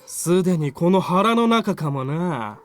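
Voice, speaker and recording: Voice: medium pitch, animated, moderate pace, no room sound, natural voice. A performer voicing a mocking, man-eating otherworldly god in a visual novel, with a teasing, taunting manner. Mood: menacing, mocking. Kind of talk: Japanese visual novel voice acting.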